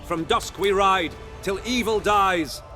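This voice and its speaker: A male actor voices an enthusiastic knightly tour guide in a fantasy audio drama. His voice sits a little high and he chatters proudly, exclaiming as he explains. Music plays clearly behind him. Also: slow pace. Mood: rousing. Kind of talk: immersive fantasy audio roleplay acting.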